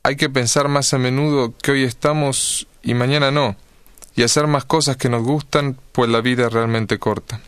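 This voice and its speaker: Brief: man, mid-pitched, earnest read testimony, dry sound. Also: normal speed, clean tone, dry recording. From Argentina. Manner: earnest, steady storytelling.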